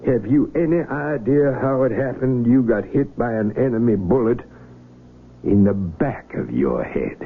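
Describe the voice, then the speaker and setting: An actor playing a calm, authoritative army surgeon who soothes his patient while probing and dodging his questions. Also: medium pitch, unhurried. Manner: soothing yet probing, evasive. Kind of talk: 1970s radio drama acting. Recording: tinny old radio broadcast with hiss.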